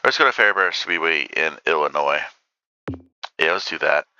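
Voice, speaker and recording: A man's voice: a bass voice, animated, normal speed, smooth, no echo, highs cut off. A man hosting a gaming channel, chatting casually and rambling with lots of ums while he plays a racing game. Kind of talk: casual gameplay commentary. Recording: loud game engine noise behind voice. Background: racing car engines from the game.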